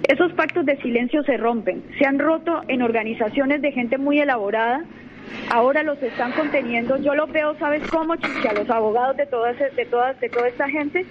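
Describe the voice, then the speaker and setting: Young woman, Colombian accent, self-assured lawyer, high voice, muffled radio sound. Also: brisk. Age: young adult. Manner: assertive, self-assured, repeats words while thinking. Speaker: lawyer guest. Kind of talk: radio phone-in interview. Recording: muffled radio audio with faint noise.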